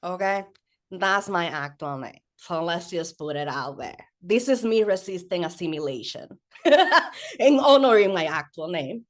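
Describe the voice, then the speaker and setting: A woman from the Dominican Republic whose first language is Spanish, introducing herself as a webinar panelist in a lively, playful way over a video call. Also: fairly low pitch. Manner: lively and playful, with a slow unhurried delivery. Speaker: panelist, mental health educator.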